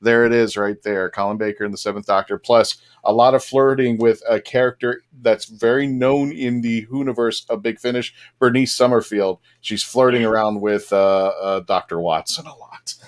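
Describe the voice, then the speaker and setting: A man's voice: mid-range voice, steady tone, normal speed, few pauses. Enthusiastic man hosting a casual panel chat. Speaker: podcast host on a fan panel.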